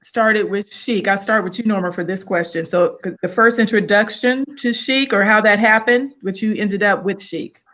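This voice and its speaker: A woman's voice: medium pitch, normal speed, hardly any pauses, fairly bright tone. A woman hosting a live online interview, upbeat, greeting viewers and guiding the conversation. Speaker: host.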